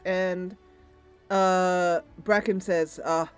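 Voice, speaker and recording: A woman's voice: fairly low pitch, measured pace, soft background music. A woman streamer improvising story narration over a video game in a loose, conversational way.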